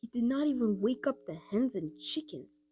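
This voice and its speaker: A woman narrating a children's audiobook in an expressive storytelling style. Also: a little high, normal speed, faint music behind. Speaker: storybook reader.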